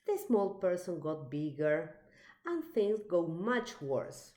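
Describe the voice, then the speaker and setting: A woman reading a children's picture book aloud in an expressive, deliberate storytelling manner. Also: on the low side. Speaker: storybook reader.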